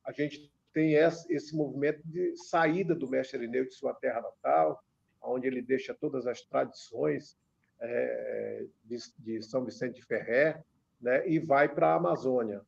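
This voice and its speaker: Brazilian radio guest in his 50s; gravelly voice, measured delivery, muffled lo-fi broadcast. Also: medium pitch, a little mellow. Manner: measured, many filler pauses. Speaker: author and religious researcher guest. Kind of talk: radio interview, explaining. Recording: dull lo-fi radio sound.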